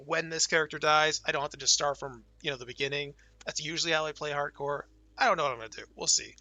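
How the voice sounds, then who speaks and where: A young man with a very bright, forward voice sits close to a dry, dead-sounding mic, chatting loosely about his game. He swears casually and sounds excited, though his voice is strained and fading from a cold. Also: fairly high pitch, no echo. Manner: laid-back, enthusiastic, swears casually. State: voice going hoarse from illness. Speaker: gaming channel host. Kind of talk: casual gameplay commentary.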